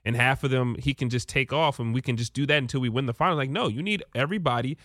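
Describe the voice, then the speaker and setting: Male panelist, middle pitch, rapid delivery, informal and opinionated sports chat. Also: fairly bright tone, no echo, studio quality. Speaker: sports betting show panelist. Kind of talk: casual sports talk.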